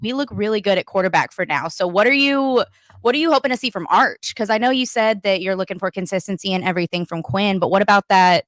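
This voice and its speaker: A woman hosting a casual sports talk show, upbeat and excitable. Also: medium pitch, no room sound. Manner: upbeat, hyped, quick to laugh. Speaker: podcast co-host.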